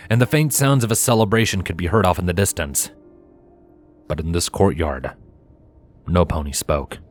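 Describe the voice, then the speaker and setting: A man reading a story aloud as a scripted narration. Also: sits low. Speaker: fan fiction reader and narrator.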